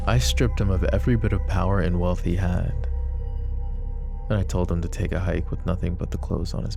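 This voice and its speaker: A man playing a deep, gravelly, brooding mafia boss. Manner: deliberate, brooding, addresses listener directly. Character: possessive, protective mafia boss, a grown man. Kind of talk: roleplay ASMR, intimate dramatic acting.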